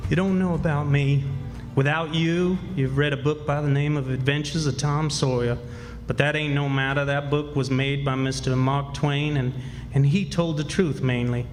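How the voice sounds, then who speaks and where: Radio play role: male actor as folksy, unschooled boy storyteller, slightly high voice, live in a reverberant hall. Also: steady tone, moderate pace, soft background music, natural voice. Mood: folksy, matter-of-fact. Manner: rustic, ungrammatical, rambling. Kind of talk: stage radio drama, first-person folksy storytelling. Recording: echoey hall stage, background noise.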